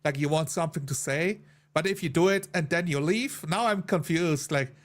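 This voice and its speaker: A man's voice: on the high side, moderate pace. A man chatting casually as one of the players in a tabletop roleplaying group. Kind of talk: casual chat.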